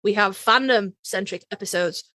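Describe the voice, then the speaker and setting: A woman's voice: medium pitch, normal speed, bright, forceful tone, dry recording. A woman co-hosting a podcast at a desk microphone, talking casually in a slangy, salty way. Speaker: podcast co-host.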